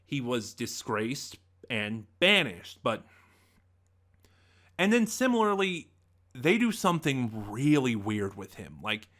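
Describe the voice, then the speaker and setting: A man reviews in a casual, conversational way, thinking aloud and sharing his opinions. His voice is bright and forward, with lively swings in pitch. It sits at a middling height for a man. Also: slow pace. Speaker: reviewer, host of a review channel. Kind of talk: casual spoken audio drama review.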